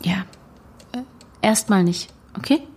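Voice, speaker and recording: A woman's voice: medium pitch, lively intonation, takes it slowly, a little mellow, slightly rough. An actress playing a guarded young activist woman in naturalistic audio drama dialogue. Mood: guarded, reassuring. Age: young adult. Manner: reassuring, evasive.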